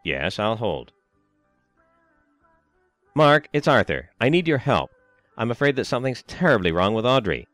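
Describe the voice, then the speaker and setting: An actor playing a concerned elderly husband who gently coaxes and reassures his wife. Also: medium pitch, sing-song, moderate pace, pauses often, no room sound, soft background music. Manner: coaxing, reassuring.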